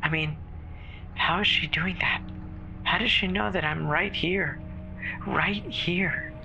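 A performer voicing a terrified woman caller alone in a snowbound house, halting and stammering with fear in a horror audio drama. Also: mid-range voice, muffled recording, over music. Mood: anxious, hurried. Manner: halting, fearful, breathy stammering. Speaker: emergency caller.

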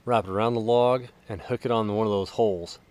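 A man in his thirties giving a relaxed, casual walkthrough of equipment outdoors in a wooded yard, filming himself. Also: on the low side, fairly quick. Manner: relaxed, explanatory, joking. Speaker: vlogger.

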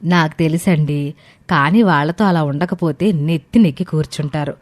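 A woman acts out a domineering older mother-in-law in a moral tale. She is resentful and insistent, scolding in a slightly low voice. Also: moderate pace, lightly acted. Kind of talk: dramatised family moral story.

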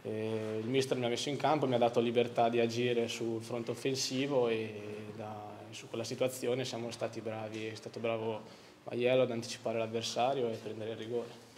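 A young male footballer giving a post-match interview into a handheld mic in front of a sponsor backdrop, speaking softly and hesitantly, with many fillers. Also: medium pitch, little pitch movement, normal speed, a little hiss or hum. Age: young adult. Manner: soft-spoken, hesitant with fillers. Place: outdoor sponsor backdrop by a stadium. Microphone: handheld interview mic held close.

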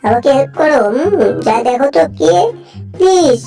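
A woman with a Bangladeshi accent voicing an excitable, bossy cartoon animal who wails and pleads in an amateur dub. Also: sits high, normal speed, soft background music. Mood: anxious pleading. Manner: exclamatory, repetitive wailing and pleading.

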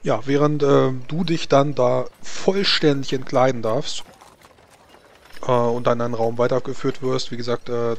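A man narrating as game master of a tabletop roleplaying game, informal and improvising as he goes. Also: medium pitch. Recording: background noise.

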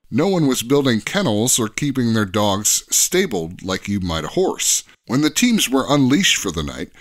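A man's voice: mid-range voice, a bit bright. A man giving an informative, scripted-sounding podcast explanation as a guest expert, with an articulate storytelling delivery.

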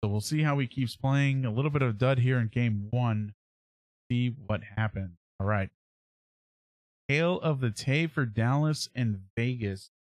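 Man talking sports, halting and dry-sounding. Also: mid-range voice, a little mellow, dry recording. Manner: halting, thinking aloud. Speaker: sports podcast host. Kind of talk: casual sports analysis and commentary. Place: small indoor room at home, on a livestream webcam.

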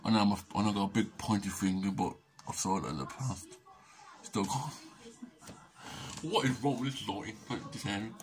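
A young man from England talks casually to a close-up webcam in a small dim living room, his voice worn and fading. He mumbles along, cracks jokes and narrates his own antics in the third person, in a somewhat low register. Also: a little fast. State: losing his voice. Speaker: vlogger. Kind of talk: casual rambling self-commentary. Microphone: webcam or phone camera at close range.

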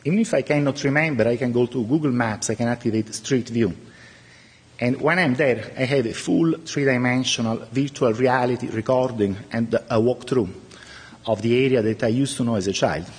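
A middle-aged man whose first language is Italian gives a conference talk from behind a podium, his delivery halting and filled with pauses. His voice is slightly husky and sits at an ordinary pitch for a man. The sound carries the long reverb of a large lecture hall. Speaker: conference speaker.

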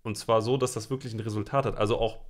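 A man's voice: on the low side, steady tone, fast talker. A young man co-hosting a casual live stream, explaining points with many fillers and restarts. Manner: hesitant, filler-heavy, explanatory. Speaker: co-host.

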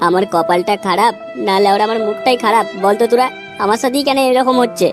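Man voicing a whiny, fast-talking luckless young bachelor. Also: sits high, music in the background, lightly acted. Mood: self-pitying. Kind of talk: comedy cartoon dubbing.

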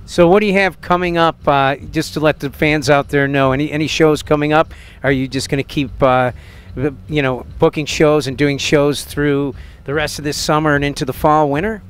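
A man's voice: fairly high pitch, fairly even intonation, moderate pace, clear, resonant tone. A man from Metro Detroit interviewing a musician, asking long, rambling questions full of fillers into a handheld mic in an indoor room. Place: indoor venue backstage room.

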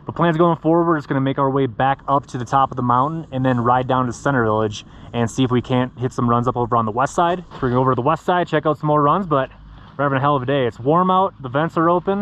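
A man's voice: medium pitch, fairly bright tone, highs cut off, a little hiss or hum. A young man narrating a casual ski vlog, upbeat and chatty as he talks straight to his viewers from the mountain. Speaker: ski vlogger. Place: outdoors on a ski slope and chairlift. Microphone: action camera on a pole mount.

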